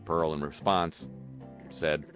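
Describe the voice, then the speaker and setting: A man's voice: very deep voice, steady tone, takes it slowly, a bit bright, soft background music. A man hosting a talk show, reading news items with wry, sarcastic asides. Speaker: host. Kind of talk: radio talk commentary.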